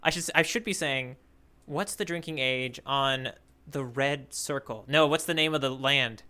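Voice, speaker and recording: A man's voice: mid-range voice, a little slow, bright, forceful tone, clear, resonant tone. A man co-hosting a podcast in casual chat, riffing on tangents and tossing in little sound effects. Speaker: podcast co-host.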